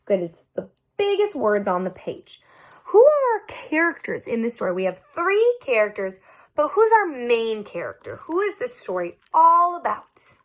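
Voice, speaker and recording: A woman reading a picture book aloud as a teacher, in a deliberate, expressive way meant for young children. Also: a little high, no echo. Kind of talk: expressive storybook read-aloud with questions to children.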